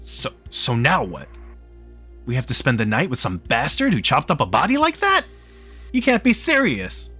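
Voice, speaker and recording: An outraged, panicked man in a visual novel mystery, acted out by a male reader. His voice climbs high as he confronts people bluntly, with faint music behind. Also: wildly swooping pitch, moderate pace, pushed and bright, slightly rough, exaggerated voice. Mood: angry, panicked. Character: angry, panicked man trapped in a lodge.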